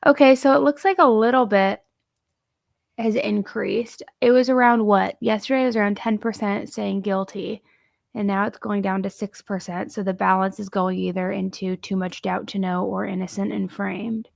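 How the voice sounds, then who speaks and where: A woman with a hoarse voice and plenty of gravelly fry chats informally to her livestream. The sound is dry, with hardly any room around her, and she comes across as a casual commentator. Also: medium pitch, steady tone, moderate pace, no room sound. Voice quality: vocal fry. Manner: chatty, informal. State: hoarse, feeling sick. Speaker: true crime livestream host.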